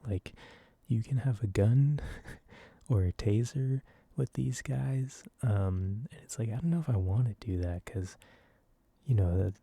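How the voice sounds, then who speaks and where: Soft, low, very mellow man rambling. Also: unhurried, no echo. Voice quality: soft, breathy. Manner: rambling, hesitant, informal. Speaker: video creator. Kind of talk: soft-spoken ASMR ramble.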